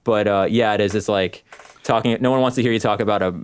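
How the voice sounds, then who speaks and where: A man with a somewhat low voice hosts a casual podcast conversation. He keeps it easygoing, nudging his guest along with quick nods of agreement. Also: steady tone, clear, resonant tone. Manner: easygoing, lots of short backchannels. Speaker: podcast host. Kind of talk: casual interview chat.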